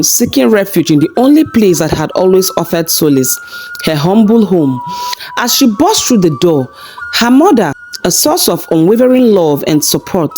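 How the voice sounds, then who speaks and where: A woman's voice: mid-range voice, darkish tone. A woman narrating a scripted folktale as a storyteller.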